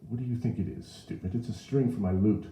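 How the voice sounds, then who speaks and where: A man's voice: lowish voice, fast, mellow, pitched above the performer's own voice, lightly acted. Man voicing a pompous, indignant young man, roomy sound. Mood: indignant, scornful. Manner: pompous, florid, declamatory. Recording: roomy echo.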